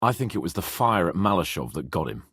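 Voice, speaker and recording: An actor voicing a brash, teasing young medieval nobleman in a video game, proud, hot-headed and sardonic, with an expressive delivery. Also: low-pitched, fast talker, slightly rough, no echo, studio quality, exaggerated voice. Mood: earnest, grumbling. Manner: cocky, sardonic, swearing, expressive. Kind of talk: video game voice acting, dramatic dialogue.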